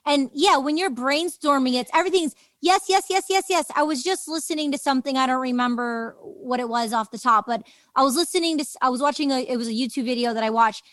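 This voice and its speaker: A woman's voice: high voice, fairly even intonation, fast talker, hardly any pauses, a bit bright, no echo. A woman hosting an interview, speaking in a casual, reflective, conversational way. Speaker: podcast host, interviewer.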